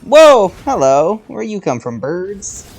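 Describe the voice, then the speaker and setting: A man's voice: sits high, sing-song, slow, darkish tone, husky, low-quality recording. A man casually reacting to a game as he plays, with playful interjections. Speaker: game streamer.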